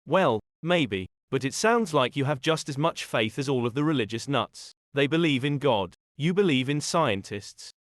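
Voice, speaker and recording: A man's voice: medium pitch, animated, normal speed, few pauses, no echo, lightly acted. Man acting an earnest husband, dry sound. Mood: thoughtful. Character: earnest, reasonable young husband open to faith. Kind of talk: audio drama dialogue.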